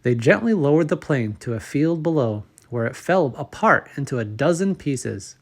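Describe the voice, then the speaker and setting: A man reads a picture book aloud for children. His mid-pitched voice is expressive and measured, shaped for storytelling, with each phrase set out carefully. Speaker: storybook reader and channel host. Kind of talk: scripted children's story narration.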